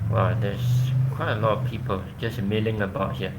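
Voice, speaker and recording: A man answering questions after his own tech presentation over a video call, explaining haltingly with frequent restarts. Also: lowish voice, flat delivery, heavy background noise. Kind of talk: casual tech talk Q&A.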